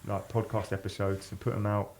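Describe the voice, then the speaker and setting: A man's voice: lowish voice, little pitch movement, brisk, a little mellow. A man hosting a podcast in casual chat. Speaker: podcast host.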